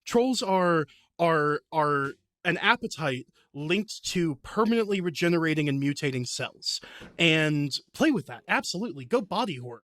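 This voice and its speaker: A man's voice: a little high, expressive, normal speed, fairly bright tone, no echo. A man speaking as a podcast guest and game master, explaining fantasy lore casually in an enthusiastic, rambling way.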